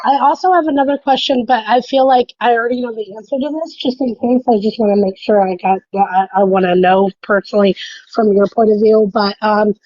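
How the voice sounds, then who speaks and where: A woman's voice: fairly high pitch, darkish tone, dry recording, lo-fi sound. Hesitant woman caller in her thirties. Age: 30s. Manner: hesitant, lots of ums, earnest. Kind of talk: casual call-in chat.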